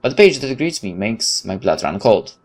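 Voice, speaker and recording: A man's voice: fairly low pitch, big pitch swings, normal speed, a little mellow. A man hosting a gaming video, reading visual novel text aloud with stumbles and exasperated, sweary asides.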